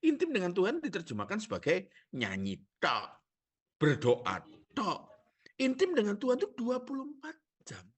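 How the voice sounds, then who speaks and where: A man's voice: high-pitched, wildly swooping pitch, moderate pace, husky. A male pastor with a Javanese accent preaching a sermon, asking the congregation rhetorical questions and joking.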